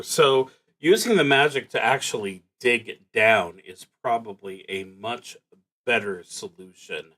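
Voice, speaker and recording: A man with a mid-pitched voice streams a solo roleplaying game. He speaks slowly, thinking through his choices aloud. His delivery is halting and musing, often trailing off before he picks the thought back up. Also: fairly bright tone. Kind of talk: unhurried thinking aloud while playing a solo tabletop game.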